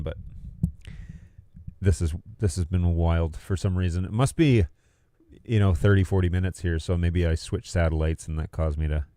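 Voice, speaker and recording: Very low male voice, mellow tone, dry close sound, casual livestream host, rambling, thinking aloud. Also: dry recording. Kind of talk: casual livestream chat about video production gear.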